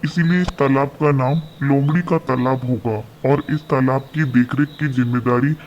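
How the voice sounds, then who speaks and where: A man's voice: medium pitch, steady tone, normal speed, lightly acted. Man playing a regal lion king, dull recording. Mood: commanding, approving. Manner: formal, declarative, regal. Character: authoritative male lion king of the jungle. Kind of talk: children's cartoon story dialogue. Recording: dull lo-fi sound, faint background noise.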